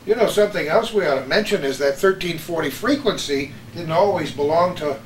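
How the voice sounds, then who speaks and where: An older man hosting a casual, unhurried chat, reminiscing about old radio with frequent pauses. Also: fairly high pitch. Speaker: host. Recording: echoey room with background noise.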